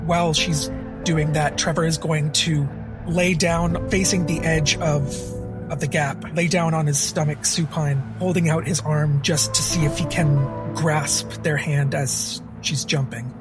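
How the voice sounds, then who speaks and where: A tabletop player lays out their character's move step by step in a plain, deliberate voice. Clear music plays in the background. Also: medium pitch, fairly even intonation. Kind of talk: describing a character's actions.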